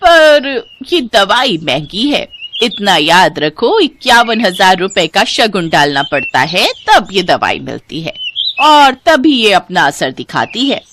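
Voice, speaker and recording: A sly swindler posing as an old healer woman acted by a woman storyteller. She makes her pitch slowly and weightily, in storybook dialogue. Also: sing-song, noisy background. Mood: cunning, persuasive.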